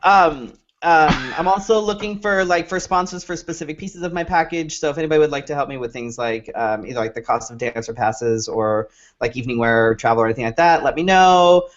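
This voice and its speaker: A man's voice: a little high, fairly quick. A man co-hosting a show in casual, rambling chat, swearing freely, on a dull lo-fi recording. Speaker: show co-host. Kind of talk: casual chat, plugs and sign-off. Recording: dull lo-fi audio.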